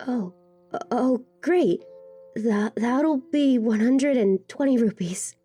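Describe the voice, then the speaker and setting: A woman's voice: on the high side, slow pace, warm tone, husky. A woman playing a timid, courteous village seamstress who stammers, in a fan audio drama. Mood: flustered, shy. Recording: music under the voice.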